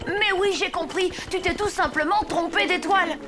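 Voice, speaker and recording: High-voiced earnest young boy. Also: moderate pace. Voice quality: childlike. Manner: earnest, eager. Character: young orphan boy, Santa's apprentice, earnest and brave. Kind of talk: French animated series dubbing. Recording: music behind the voice.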